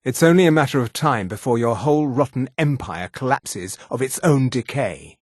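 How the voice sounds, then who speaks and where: Fantasy game role: imperious high elf officer, played by a man, disdainful and formal, expressive pitch, slightly husky, dry studio sound. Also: normal speed, no echo, lightly acted. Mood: haughty, menacing. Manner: disdainful, formal, clipped authority. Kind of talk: fantasy video game character dialogue.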